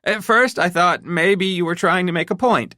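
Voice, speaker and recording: A man's voice: high voice, moderate pace, pushed and bright, no room sound, studio quality, pitched above the performer's own voice. A man voicing a distraught, betrayed wife who accuses her husband in a shaking voice that rises to shrieks. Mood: shaken, accusing. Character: distraught betrayed middle-aged wife, shrill.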